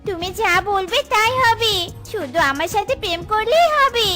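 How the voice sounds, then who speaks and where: A woman voicing a gushing, quick-tempered young woman in a children's cartoon, exclamatory and theatrical. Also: very high voice, lively intonation, bright, forceful tone, faint music behind, exaggerated voice. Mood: scheming, coy.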